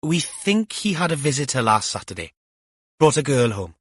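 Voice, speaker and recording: A man plays an older village woman in an audiobook, pitched up and sing-song. She is chatty, protective and a little coy, with emphatic gossip in a dry recording. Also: sing-song, moderate pace, fairly bright tone, husky, no room sound. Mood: gossipy.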